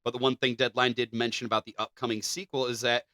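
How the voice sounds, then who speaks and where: Lively-sounding man, bright and closely recorded. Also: mid-range voice, fast, no echo, clean studio sound. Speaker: podcast co-host. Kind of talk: entertainment news read-out mixed with casual banter.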